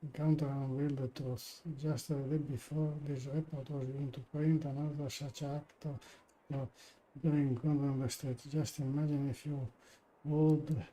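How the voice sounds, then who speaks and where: Slow, monotone man reading aloud haltingly. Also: mid-range voice, rough recording. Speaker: live streamer. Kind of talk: reading game text aloud. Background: faint game music.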